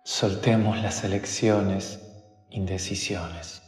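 A deep-voiced man guides a meditation at an extremely slow pace, leaving long pauses between short phrases and sometimes sinking to a whisper. His voice rings out with a long, roomy reverb, and soft music plays faintly behind him. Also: steady tone.

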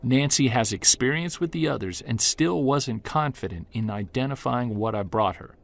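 An actor playing an earnest male Bigfoot investigator who narrates in the first person, measured and defiant toward skeptics. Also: medium pitch, vocal fry, lightly acted. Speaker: narrator and lead character. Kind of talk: audio drama narration.

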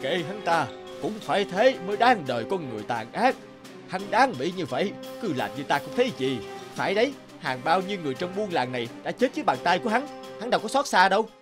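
An indignant villager in a cartoon folk tale. His voice is high for a man and swings widely in pitch, with loud music behind it. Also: very animated, quick pace. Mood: scornful. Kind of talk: dubbed cartoon acting.

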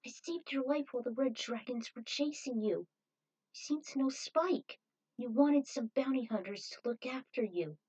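A woman voicing a brisk, reassuring female rescuer with emphatic delivery in a story reading. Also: high voice, darkish tone, pitched above the performer's own voice, rough recording. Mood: eager, reassuring.

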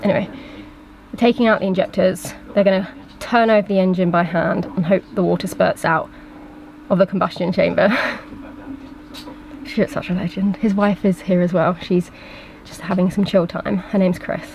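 A woman's voice: fairly low pitch, steady tone, normal speed, gravelly, a little mellow, low-quality recording. Chatty young woman vlogging from a boat. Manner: chatty and enthusiastic. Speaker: sailing vlogger. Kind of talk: casual vlog to camera. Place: small boat cabin.

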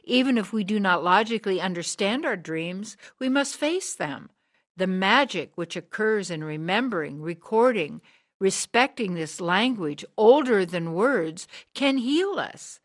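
A woman's voice: medium pitch, expressive, natural voice. Woman acting a driven, preaching older visionary woman. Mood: solemn, urgent. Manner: declamatory, preaching, deliberate. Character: driven, earnest sixty-year-old woman, visionary sage. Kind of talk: radio drama monologue, solemn oratory.

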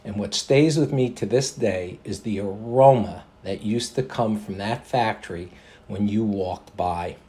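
An older American man reading for a voice-over demo in a measured, articulate way. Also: lowish voice, warm tone. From New York State, United States. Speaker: voice actor.

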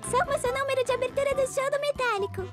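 A woman's voice: very high-pitched, quick pace. A woman voicing a chirpy, eager little girl scooter in a children's cartoon. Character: perky little girl scooter, eager tech-minded friend. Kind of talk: animated children's cartoon acting. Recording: music behind the dialogue.

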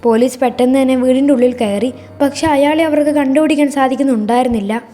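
A woman narrates a scripted first-person horror story in a measured, suspenseful way. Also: high voice, mellow.

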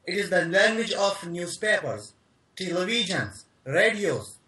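A man's voice: sits high, very animated, measured pace, bright, forceful tone. Eager young Pakistani man, echoey video call. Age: young adult. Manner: earnest, emphatic, lists things out. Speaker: caller. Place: dim room indoors. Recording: echoey room.

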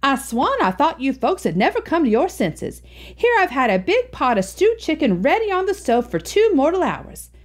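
A woman's voice: high-pitched, sing-song, normal speed, pitched above the performer's own voice. A woman voicing a homespun older country woman who talks in a folksy, chatty way. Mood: hospitable. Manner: folksy rural speech, chatty.

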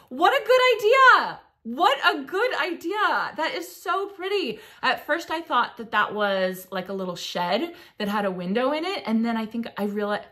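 A woman in her thirties hosting a gardening show from her home office, chatting casually and gushing over viewers' gardens. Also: bright, forceful tone. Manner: gushing, enthusiastic, exclamations with rising pitch. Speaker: gardening channel host. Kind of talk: casual chat reading viewer submissions.

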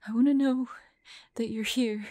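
A woman voicing a tormented young monster girl fighting bloodthirst, tender and frightened, halting and often dropping into whispers in an intimate audio roleplay. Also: fairly high pitch, steady tone, mellow, no room sound, natural voice. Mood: scared, pleading.

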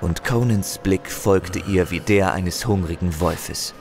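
A man's voice: low-pitched, slow pace, music in the background. A man narrating a fantasy audio drama as a dramatic scripted storyteller.

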